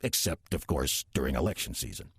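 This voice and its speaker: An actor playing a genial, wry old demon who passes as a folksy small-town churchgoer in an audio drama, with a husky, drawling voice. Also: male voice, a bass voice, expressive, a little fast, a bit bright, no room sound, studio quality, heavily acted. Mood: folksy, musing. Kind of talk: audio drama dialogue and narration.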